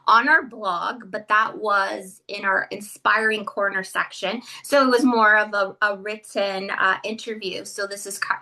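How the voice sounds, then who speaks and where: A woman's voice: mid-range voice, steady tone, unhurried, hardly any pauses, pushed and bright. A woman hosting a podcast interview, reading an upbeat intro and then asking questions. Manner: upbeat, reads a scripted intro, then affirming listener. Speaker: podcast host.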